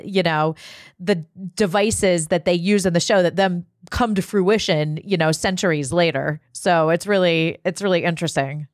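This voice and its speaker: Woman cohost chatting casually, slightly low voice, very dry recording. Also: no room sound. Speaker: guest podcast host. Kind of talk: casual podcast chat.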